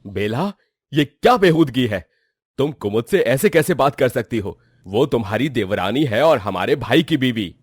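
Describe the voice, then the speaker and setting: A man's voice: medium pitch, animated, moderate pace, husky, no echo, studio quality. A man playing an earnest family man who protests and reproaches in a melodramatic moral-story drama. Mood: indignant. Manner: protesting, indignant.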